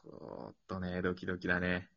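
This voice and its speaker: A man's voice: sits low, animated, brisk, creaky. A man hosting an improvised drama show, chatting casually and informally after a performance. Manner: colloquial, informal phrasing. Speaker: host of an improvised radio drama series. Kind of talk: casual post-show chat. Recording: dull lo-fi audio.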